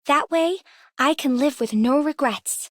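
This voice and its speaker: A woman voicing a gentle, devoted young researcher in a video game, polite and earnest. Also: high-pitched, a little slow, no room sound, clean studio sound. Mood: grateful. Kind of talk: video game character voice lines.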